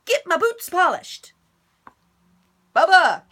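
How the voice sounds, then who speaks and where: A woman's voice: very high voice, big pitch swings, takes it slowly, voice pushed up, exaggerated voice. A woman voicing mean, bossy young cowboy stepbrothers in a storybook, barking orders. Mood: shouting. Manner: loud, barking, mocking.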